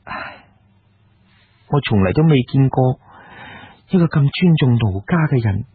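Slow, husky lovestruck courtesan acted by a man. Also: mid-range voice, lively intonation, heavily acted. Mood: tender, unsure. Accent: Cantonese. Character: humble, lovestruck young courtesan of ancient times. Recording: muffled, narrow phone-grade audio.

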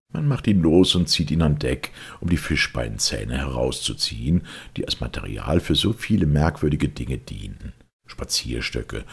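A man narrates a classic audiobook in an extremely low voice. It is husky and rough, with a heavy rasp. His delivery is measured and expressive, as a storyteller reading literary prose.

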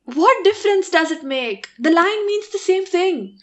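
A woman's voice: extremely high pitch, animated, a little slow, highs cut off. A young woman with an Indian accent playing a flustered, defensive stage actress in a radio play, protesting indignantly. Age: young adult.

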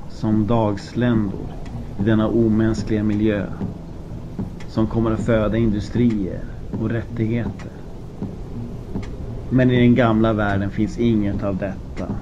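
A man narrating an audiobook from a script, in deliberate phrases with dramatic pauses. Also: fairly low pitch, level tone. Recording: muffled lo-fi with clear background noise.

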